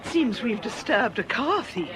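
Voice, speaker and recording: An actress playing a loyal, observant religious sister in a radio drama, brisk and reassuring. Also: female voice, fairly high pitch, sing-song, background noise. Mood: curious, amused. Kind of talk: British radio drama acting.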